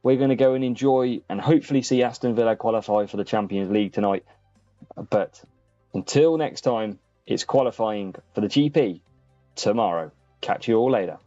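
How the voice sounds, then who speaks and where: A man's voice: on the low side, normal speed, dark tone, no room sound. A man casually commentating on a live gaming stream, chatting to viewers and addressing them by name. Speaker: streamer and host of a gaming channel. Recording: muffled lo-fi sound, music behind. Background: faint background music.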